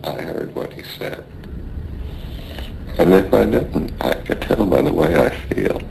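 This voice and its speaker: A weary dying sailor in a radio play, with a very deep, slow, creaking voice. He is weak and hurting, stoic, and talks in rough seaman's slang, with some noise on the recording. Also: expressive, dark tone, exaggerated voice, low-quality recording. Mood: resigned weary. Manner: rough uneducated sailor speech. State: weak, in pain. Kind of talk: radio drama acting.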